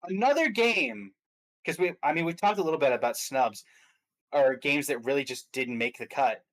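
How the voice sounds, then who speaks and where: Pria mengobrol santai soal gim. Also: male voice, medium pitch, lively intonation, normal speed, a bit bright. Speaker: guest commentator on game awards stream. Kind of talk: casual chat, opinionated game commentary.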